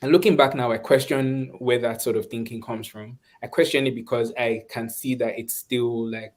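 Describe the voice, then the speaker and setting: A Nigerian man speaking reflectively and conversationally in an online webinar, often pausing and restarting as he searches for words. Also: mid-range voice, steady tone. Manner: thoughtful, halting, searching for words. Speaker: webinar speaker, course instructor.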